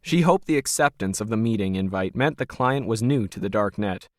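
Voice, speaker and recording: A man's voice: on the low side, animated, fairly quick, no room sound, clean studio sound. A man reading scripted fiction as a narrator.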